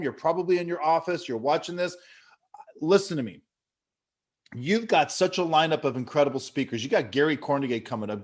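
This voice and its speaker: A man in his fifties from California giving an earnest motivational talk, telling stories with many fillers and restarts. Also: fairly high pitch. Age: 50s. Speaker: business leader speaking at an online event.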